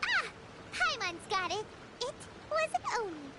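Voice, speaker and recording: A woman voicing a tiny, childlike, chatty fairy companion in a video game, curious and exclamatory, with a squeaky voice. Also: sing-song, measured pace, noisy background, heavily acted. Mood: bright, curious. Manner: refers to herself by name, exclamatory. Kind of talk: animated video game voice acting. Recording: loud game sound behind voice.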